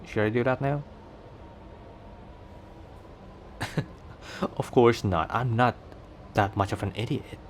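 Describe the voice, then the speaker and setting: Man acting as cocky male werewolf, ASMR roleplay, very slow pace, teasing and flirty, noisy ambient background. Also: mid-range voice, natural voice. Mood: teasing, sarcastic. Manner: teasing, chuckling between phrases.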